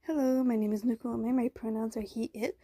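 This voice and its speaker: A woman's voice: a little high, fairly even intonation, quick pace, warm tone, breathy edge, no echo. A woman giving her commentary in a listener voice message. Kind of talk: opinion commentary.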